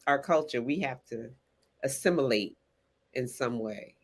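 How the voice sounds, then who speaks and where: A woman hosting a relaxed interview with a novelist, informal and chatty. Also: a bass voice, measured pace, breathy edge. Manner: informal and chatty, drops phrases like "honey". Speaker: interviewer and festival founder. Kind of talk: casual conversational interview.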